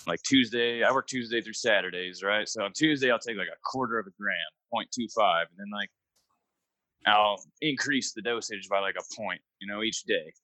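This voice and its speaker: A man's voice: on the low side, moderate pace, pushed and bright, no echo, low-quality recording. A young man in casual chat, talking in a laid-back, rambling way with lots of fillers.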